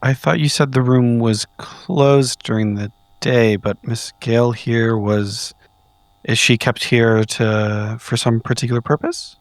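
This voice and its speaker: A man improvising dialogue as a player in a tabletop roleplaying game, measured and somewhat formal. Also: medium pitch, fairly even intonation, no echo.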